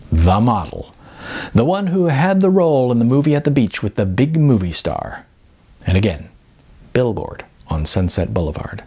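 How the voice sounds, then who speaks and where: A man's voice: lowish voice, gravelly, darkish tone, breathy edge. A man narrating comic fiction expressively, with a wry, emphatic, sardonic delivery. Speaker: audiobook narrator.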